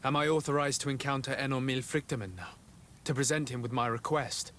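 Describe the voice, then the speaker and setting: An actor playing a courteous, earnest adventurer and envoy in a fantasy game, speaking formally and with composure. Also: male voice, medium pitch, brisk, a bit bright, a little hiss or hum, close to an everyday voice. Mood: polite, composed. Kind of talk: fantasy video game cutscene acting.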